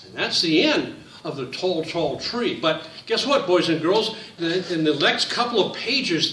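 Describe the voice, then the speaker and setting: A man reading his picture book aloud to children, in a slow, sing-song storytime voice that speaks to them directly. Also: high-pitched, a bit bright, reverberant, distant mic, slight background noise.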